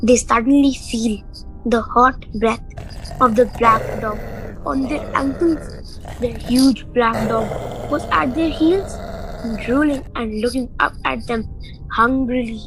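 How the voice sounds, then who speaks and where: A woman's voice: a little high, slow pace, low-quality recording. A woman reading scripted story narration in a radio play. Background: music.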